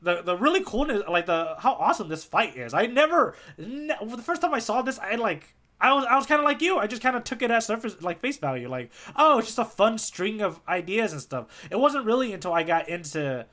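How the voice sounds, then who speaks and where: A man with a very bright, slightly high voice talks as a podcast co-host. He explains things casually and with animation, working through each point conversationally and often checking that he is being followed. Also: sing-song, a little fast, few pauses.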